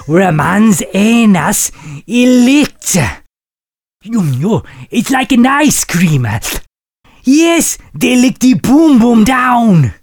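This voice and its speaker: A male comedy host reads a listener letter with exaggerated, sermon-like gravity. His pitch swings widely and he stretches his words out. He keeps cracking up partway through lines. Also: big pitch swings. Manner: drawn-out, preacherly delivery breaking into laughter. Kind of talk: mock-solemn comic letter reading.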